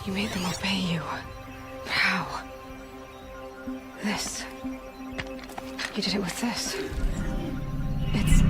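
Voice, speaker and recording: A woman voicing a determined young huntress. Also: close to an everyday voice. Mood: hushed. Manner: focused, resourceful, speaks low when sneaking. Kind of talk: video game cutscene acting.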